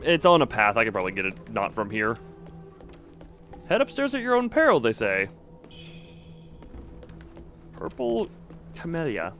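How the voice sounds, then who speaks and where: A man's voice: mid-range voice, a bit bright. A man doing casual live game commentary as a streamer, reading text aloud and adding wry, muttered asides.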